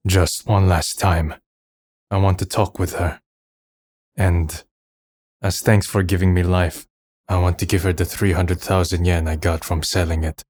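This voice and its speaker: Man playing low-voiced, weary young man. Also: little pitch movement, unhurried, a little mellow. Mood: subdued, resigned. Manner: subdued, introspective. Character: weary, melancholy young man resigned to death. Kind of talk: first-person narration and dialogue in manga dub.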